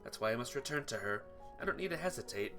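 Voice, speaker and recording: A man's voice: medium pitch, animated, quick pace, over music. A man narrating a visual novel playthrough, reading the story text aloud.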